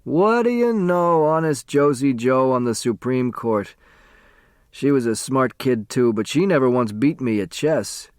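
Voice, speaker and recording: A man's voice: medium pitch, animated, unhurried, darkish tone, clear, resonant tone, dry recording, clean studio sound, lightly acted. A man voicing a frail, dying man in his eighties, weak-voiced, wistful and teasing, in an audiobook story. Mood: wistful, curious. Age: 80s. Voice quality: weak, faint.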